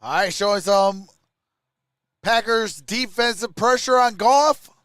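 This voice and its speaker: A man's voice: high voice, slow, a bit bright, smooth, no room sound, clean studio sound. A man hosting a sports livestream, calling plays and reading stats in short, clipped phrases. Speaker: livestream host doing play-by-play fan reaction. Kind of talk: live sports commentary, reading stats and chat.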